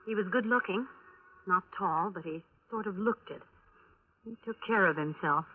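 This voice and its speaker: A woman's voice: mid-range voice, normal speed, breathy edge, natural voice. An American woman playing a bitter, bluntly honest young widow in a 1950s radio detective drama, controlled and dramatic in delivery. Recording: tinny vintage 1950s radio broadcast.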